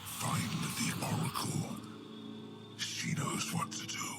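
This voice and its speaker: An actor playing a menacing armored elven witch king in a game cutscene, with a gravelly rasp and a commanding, ominous manner. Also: slow pace, lightly acted. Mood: cold command. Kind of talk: dark fantasy game cutscene acting. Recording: dramatic music behind the voice. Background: music.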